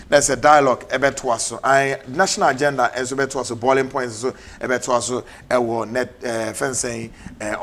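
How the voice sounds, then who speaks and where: A man with a Ghanaian English accent hosting a TV talk show, mixing English and Twi in brisk announcements. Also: mid-range voice. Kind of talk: TV political talk show, closing with ads. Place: TV studio.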